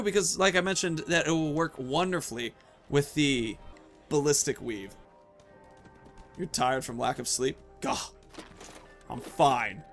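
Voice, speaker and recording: A man in his thirties giving casual, rambling commentary as he plays a video game, often thinking aloud and muttering asides. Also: fairly high pitch. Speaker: video game streamer and host.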